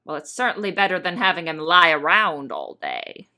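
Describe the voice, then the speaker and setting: A woman playing a brisk, imperious lady of a big house in an audio drama, commanding and businesslike. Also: on the low side, huge pitch swings, pushed and bright, exaggerated voice. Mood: brisk, appraising.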